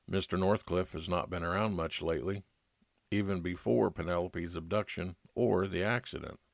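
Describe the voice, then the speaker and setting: A man's voice: deep voice, fairly even intonation, normal speed, gravelly, no echo. A man narrating a scripted first-person detective story as a private investigator, in old-time radio style.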